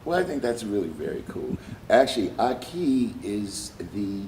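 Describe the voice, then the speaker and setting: Deep, lively middle-aged male host. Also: normal speed, a little hiss or hum, poor audio. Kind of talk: interview hosting. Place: large bright studio loft indoors.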